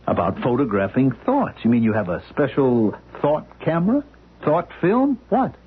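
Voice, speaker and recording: A man's voice: medium pitch, big pitch swings, moderate pace, slight background noise, lightly acted. A man playing a skeptical, incredulous radio-drama man. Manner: incredulous, jumpy questioning. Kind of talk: 1970s radio drama acting. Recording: lo-fi broadcast sound, phone-like.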